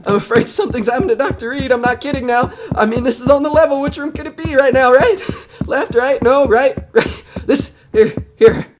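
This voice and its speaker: A man playing a nervous radio reporter who is coming unravelled in a horror audio drama, talking in a halting, rambling way. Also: very high-pitched, background noise. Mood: rising panic.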